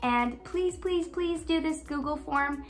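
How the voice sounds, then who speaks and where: A young adult woman presenting picture books for children, moving between expressive reading and casual chat that speaks straight to young listeners. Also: high-pitched, takes it slowly. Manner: addresses young listeners with questions. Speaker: children's read-aloud host, education student. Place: small living room at home, on a couch.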